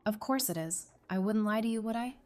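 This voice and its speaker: A woman's voice: medium pitch, brisk, a little mellow, natural voice. An actress playing a resilient woman survivor in an audio drama, with a mid-range voice. She talks frankly and has a teasing streak. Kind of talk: fan audio drama dialogue.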